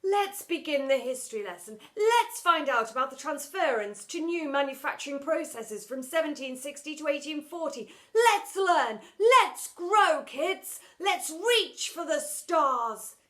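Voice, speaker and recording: A fussy, put-upon male schoolteacher who speechifies louder and louder, as a woman reads him in a storybook. The voice sits high and bright, pushing each line with eager emphasis. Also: lively intonation, a little slow. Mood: rousing. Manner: loud, rising, emphatic speechifying.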